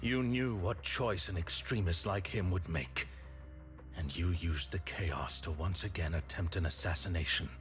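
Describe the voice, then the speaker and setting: A calm, low-voiced accuser. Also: male voice, measured pace, faint music behind. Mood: accusing. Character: cool accuser laying out a crime. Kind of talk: video game voice acting.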